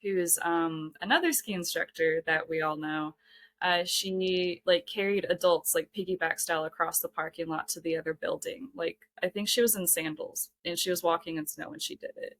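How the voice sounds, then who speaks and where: A woman's voice: lowish voice, fairly even intonation, normal speed, a bit bright, dry recording. A young woman, a podcast guest, talking earnestly in a casual chat, filling her speech with "like" and "um". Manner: earnest, heavy use of "like" and "um". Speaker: podcast guest, ski lodge worker.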